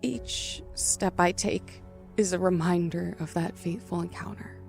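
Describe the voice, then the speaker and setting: A woman's voice: on the low side, steady tone, a little slow, vocal fry, a little mellow. An actress playing a haunted female survivor of a killer's attack, narrating an audio drama with solemn intensity. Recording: music behind.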